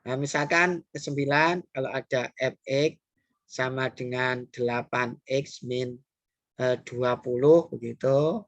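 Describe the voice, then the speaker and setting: A male math teacher with an East Javanese Indonesian accent talks his students through a recorded lesson one step at a time. He pauses often on fillers and circles back to repeat the key rule. His mid-pitched voice sounds dry and close, with no room echo. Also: steady tone. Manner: hesitant, frequent "eh" fillers, repeats key points; addresses students directly, step-by-step. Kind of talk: recorded classroom math explanation.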